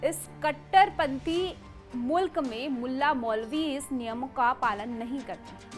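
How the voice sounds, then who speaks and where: A woman's voice: high-pitched, fairly bright tone. A woman presenting scripted news in an emphatic, dramatic way. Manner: emphatic, dramatic delivery with rhetorical questions.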